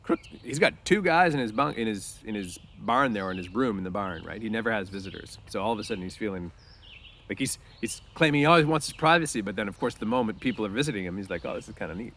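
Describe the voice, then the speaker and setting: A man's voice: lowish voice, animated, fast, a bit bright. A man reading a story aloud and explaining it in a conversational way, with casual asides. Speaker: reader explaining a novel.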